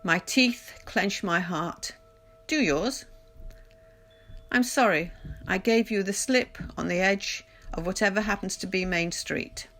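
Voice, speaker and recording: A woman reading poetry aloud in a measured, deliberate literary recitation, pausing between phrases. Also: mid-range voice, fairly bright tone. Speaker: poetry reader. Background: music.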